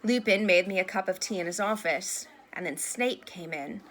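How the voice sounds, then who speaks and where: A woman voices a plain-spoken teenage boy in a storybook. The sound is bright and forceful, the manner earnest and slightly impulsive. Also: mid-range voice, moderate pace, a little hiss or hum, natural voice. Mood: matter-of-fact. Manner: hesitant yet blurting. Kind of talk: storybook character voice.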